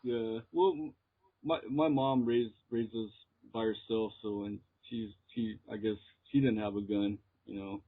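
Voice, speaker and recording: An American man, heard through a thin, phone-like connection, talks in a casual interview. He speaks in a halting, hesitant way, feeling his way through each answer. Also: lowish voice, fairly even intonation. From Texas, United States. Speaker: interviewed metal musician. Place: remote call. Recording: muffled, tinny phone line.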